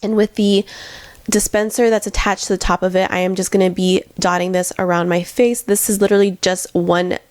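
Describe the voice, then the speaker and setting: A woman's voice: mid-range voice, little pitch movement, brisk, darkish tone, dry recording. A young woman narrating a makeup tutorial in a chatty, step-by-step way, her voice nasal from congestion. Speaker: beauty vlogger.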